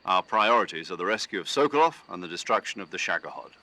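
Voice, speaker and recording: An actor playing an authoritative male military commander in a video game, briefing an agent in a measured, firm, explanatory way. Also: low-pitched, animated, sharp tone, heavily acted. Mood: firm, businesslike. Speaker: mission commander. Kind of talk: dramatic video game voice acting.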